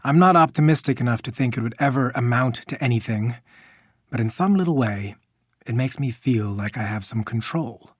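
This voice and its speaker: A man's voice: medium pitch, moderate pace, no room sound, studio quality, close to an everyday voice. Man playing bitter, wordy middle-aged scientist. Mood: weary grievance. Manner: wordy, precise, embittered, restrained. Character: George Tarleton, resentful, articulate middle-aged human scientist. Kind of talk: recorded monologue in a video game.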